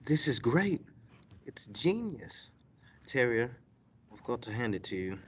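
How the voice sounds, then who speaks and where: An excitable, opportunistic radio promoter played by a man in a comic play. The voice is husky and mid-pitched, on a slightly muffled recording. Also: measured pace, full character voice. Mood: gleeful.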